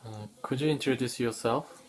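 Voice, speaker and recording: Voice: mid-range voice, moderate pace. An interviewer asking short set questions. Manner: brief, repeats set questions.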